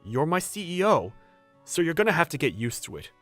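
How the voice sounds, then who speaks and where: A man's voice: on the high side, sing-song, brisk, a bit bright, faint music behind. A young man playing a polite, cautious new employee, speaking dialogue and first-person narration in a manga dub. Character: hesitant, polite young man, newly hired junior employee.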